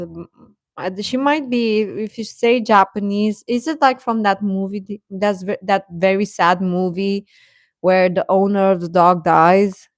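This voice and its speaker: Female livestream host: casual, playful, unhurried delivery, mid pitch, dry sound. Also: no echo. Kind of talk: casual chat with live viewers.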